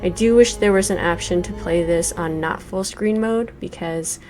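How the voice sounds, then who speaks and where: A woman's voice: fairly low pitch, normal speed, darkish tone, soft background music. A woman in her forties giving thoughtful, hesitant let's play commentary with many fillers while reviewing a game. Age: 40s.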